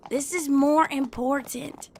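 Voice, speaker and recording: A woman voicing a confident, protective young female pegasus pony in a cartoon fan fiction reading, with a raspy voice and reassuring manner. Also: sits high, steady tone, slow pace, a little mellow, slight background noise, pitched above the performer's own voice. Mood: insistent. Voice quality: raspy, creaky. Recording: music under the voice.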